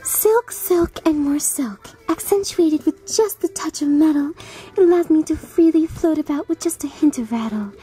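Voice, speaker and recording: Girl doing a dainty ghost teen, airy high voice, snooty and theatrical. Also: moderate pace, dark tone, far from the mic, natural voice. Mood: dreamy, pleased.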